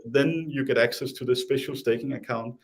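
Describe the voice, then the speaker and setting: A man's voice: mid-range voice, brisk. A man with a Danish accent, talking casually as an interview guest, hesitant with many restarts and fillers.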